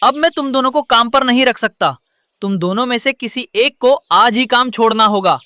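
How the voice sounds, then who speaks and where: A man's voice: very high-pitched, normal speed, pushed and bright, no room sound. A man voicing a cunning, harsh crow boss in a cartoon story, stern and commanding. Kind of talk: Hindi cartoon story dialogue.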